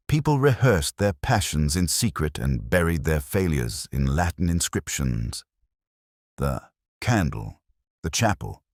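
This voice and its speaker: Very deep brooding male narrator. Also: dry recording, studio quality. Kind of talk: first-person detective story narration.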